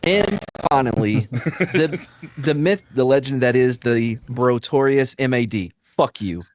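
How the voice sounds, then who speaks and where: A man's voice: medium pitch, measured pace, dry recording. A man hosting a casual podcast, laughing as he reads listener emails aloud. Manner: laughing, animated, reads emails in funny voices. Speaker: podcast host.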